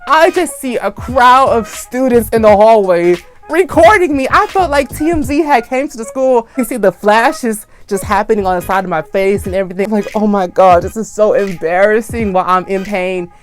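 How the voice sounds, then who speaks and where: Young male vlogger, high voice, energetic storyteller who acts out every quote; light music bed. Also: moderate pace, few pauses. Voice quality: high-pitched voice. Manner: animated, acts out screams and quotes. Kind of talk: casual storytime.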